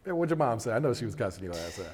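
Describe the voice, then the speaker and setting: A man hosting a casual interview, asking questions quickly and reacting with short exclamations. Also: on the low side, big pitch swings, a little mellow, slightly rough, in a large hall, faint music behind. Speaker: interviewer host. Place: indoor interview room.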